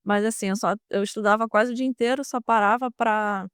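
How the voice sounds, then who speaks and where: A young woman with a Brazilian Portuguese accent answers interview questions in a smooth, clear voice. She talks earnestly, with many fillers and restarts. The sound is dry and close. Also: mid-range voice, steady tone, brisk, no room sound. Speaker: guest, former student now a merchant navy officer.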